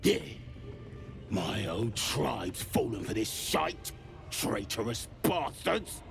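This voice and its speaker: A man's voice: fairly low pitch, big pitch swings, takes it slowly, exaggerated voice. A man voicing a surly, scornful goblin zealot in a video game, with a British accent and coarse, sneering grumbles. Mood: bitter, grumbling. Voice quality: gravelly. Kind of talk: video game character acting. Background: music.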